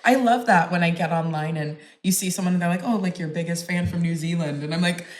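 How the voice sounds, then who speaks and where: A woman's voice: fairly low pitch, quick pace. A woman hosting a podcast, upbeat and chatty, asking questions and cheering her guest on. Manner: upbeat, chatty, frequent "that's awesome". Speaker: podcast host. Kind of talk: casual interview chat. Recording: echoey room.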